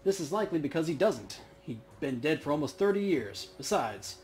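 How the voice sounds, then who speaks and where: A man's voice: on the high side, sing-song, a little fast, darkish tone, faint music behind. A man hosting a let's play, casually commenting and reading game text aloud.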